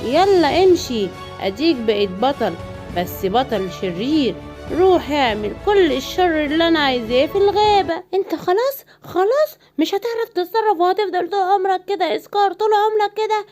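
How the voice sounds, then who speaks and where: A woman's voice: extremely high pitch, expressive, fairly quick, darkish tone, faint music behind. An Egyptian woman voicing a scheming evil witch who taunts and gives orders in a children's cartoon. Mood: gloating. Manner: taunting, commanding.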